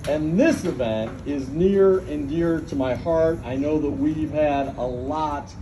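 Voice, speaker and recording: A man giving an earnest, measured welcome speech as an official at a public civic event. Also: fairly high pitch. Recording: noisy event recording.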